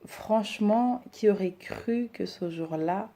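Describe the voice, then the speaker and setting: A woman in her thirties, from Rwanda and raised in Belgium, introducing herself and her decor business, hesitant with many pauses. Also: mid-range voice, warm tone. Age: 30s. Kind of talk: personal introduction to camera.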